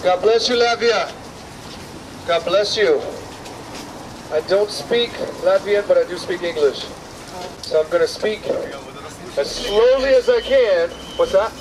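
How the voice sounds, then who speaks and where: A man's voice: high voice, measured pace, pauses often. A man in his thirties preaching earnestly at a busy outdoor bus stop, pleading directly with passers-by. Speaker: street preacher. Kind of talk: open-air street preaching. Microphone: far camera, no visible mic. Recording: distant outdoor camera audio with street noise.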